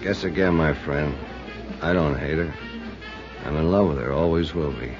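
An actor playing a world-weary, bitter man who speaks slowly in a 1950s radio drama, on an old recording. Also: rumbling low. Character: bitter lovesick man, cynical and brooding. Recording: lo-fi vintage radio with music.

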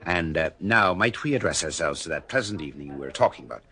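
A sardonic private eye in a vintage radio play, low and husky, with a lively, bouncing delivery. He drops his wisecracks with deadpan dryness. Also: fast talker, exaggerated voice. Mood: wry. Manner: dry, sardonic quips. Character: wisecracking Irish private detective man. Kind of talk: 1950s radio drama acting.

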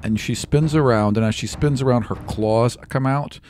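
A man's voice: medium pitch, normal speed, darkish tone, slight background noise. A man in his thirties improvising casual, vivid narration as a game master, close on a studio microphone. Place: small indoor podcast studio. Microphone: close studio microphone with foam cover. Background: background music.

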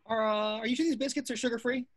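A woman's voice: fairly high pitch, fairly even intonation, fast talker, close to an everyday voice. A woman playing a fussy, affectionate father in a table read, with a hesitant, doting manner. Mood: fussy fondness.

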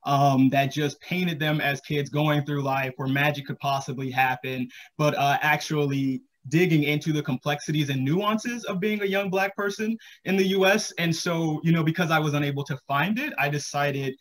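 A man's voice: fairly high pitch, steady tone, moderate pace, hardly any pauses, a bit bright. An American man in his thirties from North Carolina, talking casually as a guest on a video-call panel. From Charlotte, North Carolina, US. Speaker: podcast creator and guest panelist. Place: home room, indoors, video call.

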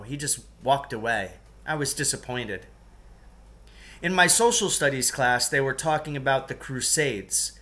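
A middle-aged man reading a first-person story aloud in an expressive storytelling style. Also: medium pitch. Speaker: teacher reading a book aloud. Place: living room at home, indoors.

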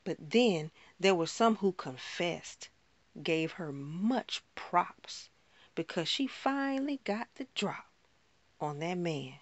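A woman performing a spoken word poem in a rhythmic, rhyming delivery with dramatic pauses. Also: fairly low pitch, expressive, no room sound.

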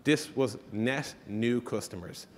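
A man's voice: medium pitch, slow pace, slight background noise. Man presenting in a reverberant hall. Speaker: conference speaker. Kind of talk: conference presentation. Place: large conference stage, indoors.

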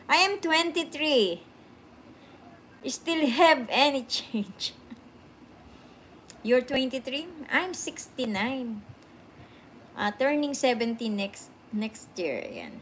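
A woman's voice: high-pitched, lively intonation, slow, pauses often, a bit bright, a little hiss or hum. A woman in her sixties chatting casually on a livestream from her phone, thanking viewers and answering comments while sounding sleepy. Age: 60s. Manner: chatty, mixes languages, repeats thanks to viewers. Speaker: livestream host. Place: indoor room with sofa. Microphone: phone camera at arm's length.

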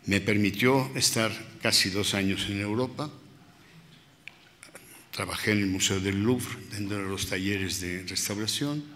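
A man in his eighties, born in Spain, speaking on a panel into a table microphone, reflective and halting, with pauses and self-corrections. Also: fairly low pitch, sharp tone, slight background noise. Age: 80s. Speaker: restorer and panelist. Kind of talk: panel talk, personal reminiscence. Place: large museum hall with audience. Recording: hall reverb from public-address room.

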